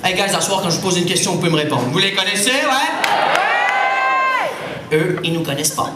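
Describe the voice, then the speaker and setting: A young Québécois man works the room in an animated stand-up set, his voice swinging high and low. He sounds distant and roomy, as if recorded from the back of a live club. Loud crowd laughter and ambient noise sit under him. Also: brisk. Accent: Québécois French. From Quebec. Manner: animated, switches into put-on voices and English. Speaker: stand-up comedian. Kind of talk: stand-up comedy routine. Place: comedy club stage with a live audience. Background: audience laughter.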